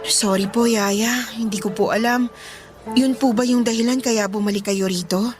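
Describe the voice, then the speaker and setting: A woman's voice: fairly high pitch, fairly even intonation, moderate pace, faint music behind, close to an everyday voice. Woman acting as a respectful, burdened young Filipina. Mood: sympathetic. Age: young adult. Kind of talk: Filipino radio drama acting.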